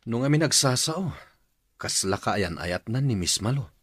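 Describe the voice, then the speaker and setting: A man's voice: medium pitch, animated, unhurried, a bit bright, husky, dry recording. A man with an Ilocano accent playing a shy, smitten young fruit seller and respectful son in a radio drama. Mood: smitten, earnest.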